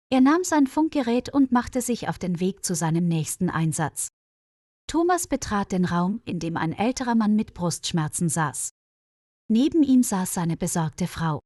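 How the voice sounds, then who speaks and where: A woman narrates a story in a clear, measured way. Her pitch moves in lively swings. The sound is dry and close, with no room around her. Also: no room sound. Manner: clear, measured reading with quoted dialogue lifted higher. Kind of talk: scripted story narration for German learners.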